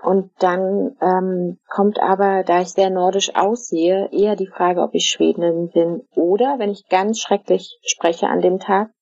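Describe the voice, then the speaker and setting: A middle-aged woman from Germany, answering interview questions in a casual, thoughtful way, with many fillers and pauses. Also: fairly low pitch, fairly even intonation, a little mellow, breathy edge, no room sound. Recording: dull, dry lo-fi recording.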